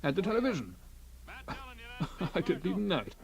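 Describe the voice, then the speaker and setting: A man's voice: sits high, very animated, normal speed, gravelly, a little mellow, full character voice. An actor playing a mild, befuddled husband in his thirties in a radio drama, hesitant and vague. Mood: bemused. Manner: hesitant, vague, faintly amused.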